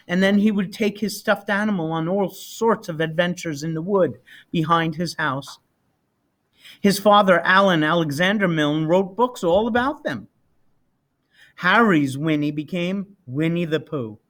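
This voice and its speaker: A man reading a children's picture book aloud expressively, with deliberate, dramatic emphasis on key words. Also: high voice, a bit bright. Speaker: storybook reader.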